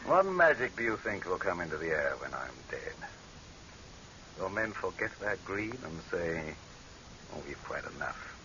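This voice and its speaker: An actor playing a ruthless, bitter dictator with a theatrical, scornful delivery in 1930s radio horror style. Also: male voice, sits low, very animated, a little slow. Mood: contemptuous, weary. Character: ruthless male dictator, bitter, contemptuous, darkly amused. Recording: hissy, dull old radio recording.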